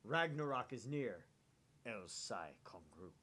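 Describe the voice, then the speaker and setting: A man's voice: lowish voice, sing-song, slow pace, bright, forceful tone, full character voice. A man voicing a bombastic, impatient young self-styled mad scientist in a theatrical, commanding way for a visual novel read-aloud. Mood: grandiose, conspiratorial.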